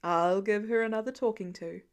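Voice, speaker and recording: A woman voicing a calm, soothing father with gentle dry humour in a storybook reading. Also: medium pitch, fairly quick, smooth, natural voice.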